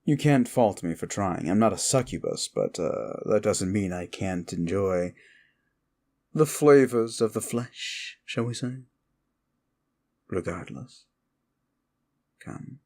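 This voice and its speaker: A man's voice: fairly low pitch, lively intonation, slow pace, pauses often, darkish tone, heavily acted. A man voicing a sardonic, seductive demon of desire in a comfort ASMR roleplay, teasing and musing without hurry.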